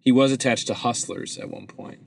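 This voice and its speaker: A man co-hosting a podcast in casual chat, chatty and quick with jokes. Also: medium pitch, creaky, a little hiss or hum. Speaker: podcast co-host.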